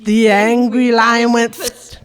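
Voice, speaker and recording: A woman's voice: a little high, level tone, slow. A woman playing a perky announcer in a parody 1940s radio commercial, with sing-song, emphatic sales patter. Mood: perky, sales pitch. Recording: reverberant hall.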